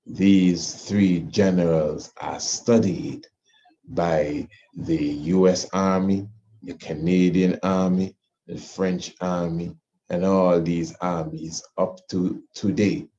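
A man teaching an informal history class, speaking deliberately and haltingly with drawn-out words. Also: sits low, steady tone, dark tone. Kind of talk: informal lesson discussion. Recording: muffled, noisy online call.

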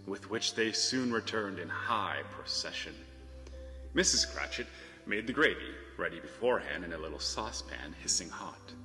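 Male narrator, lowish bright voice, theatrical storybook reading, measured phrasing, reverberant, music underneath. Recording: reverberant hall sound, music behind.

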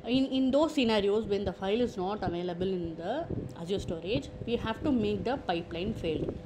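A woman presenting an informal software tutorial, explaining step by step and repeating her points. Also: medium pitch, animated, brisk, noisy background.

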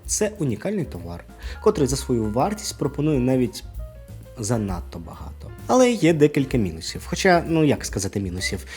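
A man's voice: mid-range voice, huge pitch swings, a little fast, darkish tone. A man giving a conversational product review, casual in manner, with asides and rhetorical questions. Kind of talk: conversational video review to camera. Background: music.

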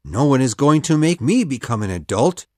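A woman plays a stubborn boy adventurer from a children's storybook, pitched very low. The delivery swings widely up and down, wistful yet set on never growing up. The recording is dry and close. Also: very animated, normal speed, mellow, dry recording, full character voice. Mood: defiant. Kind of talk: children's audiobook character voice.

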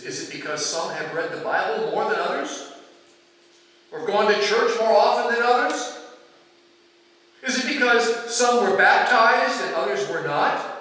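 An older man delivers a sermon in a high-pitched voice for a male speaker. He takes his time, speaking deliberately with weighty pauses. The sound is very echoey, washing around a large church from a distant microphone. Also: a bit bright. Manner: measured, deliberate, with rhetorical questions and pauses. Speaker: pastor preaching. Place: large church sanctuary, indoors.

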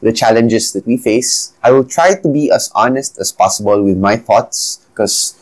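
A man's voice: medium pitch, moderate pace. A man in his thirties from the Philippines with a Filipino accent, Bisaya as his first language, talking reflectively to camera as a podcast host, recorded on a lapel mic in a small room. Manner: reflective, conversational, addresses viewers as guys. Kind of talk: solo talk to camera. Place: small indoor room at a desk.

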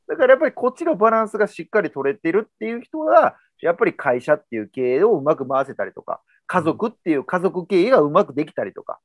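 A Kansai-accented man hosts a relaxed video-call chat, talking quickly with a lively, swinging pitch. His voice comes through dry and close, with no room sound around it. He sums up his guest's points in a loose, easygoing way. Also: dry recording. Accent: Kansai Japanese. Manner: relaxed, summarizing, gestures while talking. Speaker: host. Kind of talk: casual video-call interview. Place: indoors at home.

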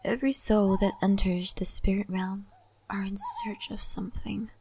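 A woman playing an ancient, wise and cryptic spirit-realm lady in a soft, breathy ASMR roleplay. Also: medium pitch, a little hiss or hum, close to an everyday voice. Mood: calm, mysterious. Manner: soft, breathy, often whispering, unhurried.

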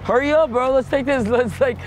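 A man's voice: extremely high pitch, a little fast. A young man bantering nervously with a friend inside a vehicle, laughing as he talks. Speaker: friend on the trip. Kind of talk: casual banter. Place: inside a vehicle at a safari park. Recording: noisy handheld camera audio.

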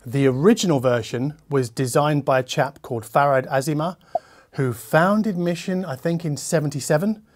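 A man in his forties with a slightly high voice, presenting a product review as the host. He talks casually and conversationally, leaning on key words and dropping in asides as he goes. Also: normal speed, soft background music. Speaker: host, audio equipment reviewer. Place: furnished living-room listening room, indoors.

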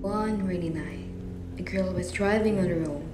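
Female radio drama narrator: scripted storytelling, lowish voice, hall echo and faint noise. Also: moderate pace, music in the background.

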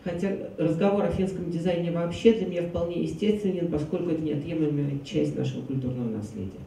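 Woman, interpreting a lecture, formal smooth delivery, echoey distant hall. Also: low voice, fast, dark tone, slight background noise. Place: large dark lecture hall.

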